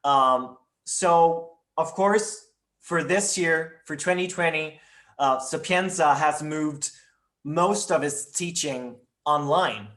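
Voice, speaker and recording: A man presenting university admissions information in a webinar over a video call, speaking in a measured way with frequent ums and uhs. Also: fairly high pitch, a bit bright.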